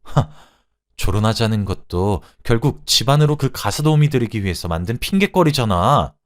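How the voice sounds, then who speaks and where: A man acting a betrayed middle-aged wife who makes bitter, sharp accusations in a dramatized story. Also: fairly low pitch, animated, a little fast, lightly acted. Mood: bitter anger.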